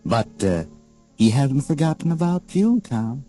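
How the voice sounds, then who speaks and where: An actor playing a knowing, taunting storyteller with an American accent, narrating a 1940s radio drama in an insinuating, mocking way. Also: male voice, medium pitch, very animated, measured pace, dark tone. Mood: taunting, ominous. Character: knowing, sinister, taunting storyteller addressing the characters. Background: music.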